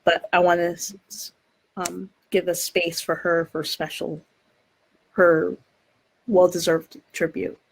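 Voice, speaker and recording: A woman hosts a live online discussion as its moderator. Her voice is a little low, and she talks haltingly and effusively, feeling her way through her phrases. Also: level tone, gravelly, slightly rough, rough recording. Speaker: event moderator.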